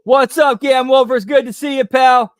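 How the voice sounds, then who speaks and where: A man's voice: very high-pitched, fairly even intonation, normal speed, no echo, clean studio sound. A man hosting a live wrestling watch-along, chatting casually and tacking "pal" onto his remarks. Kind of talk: casual live commentary over a broadcast.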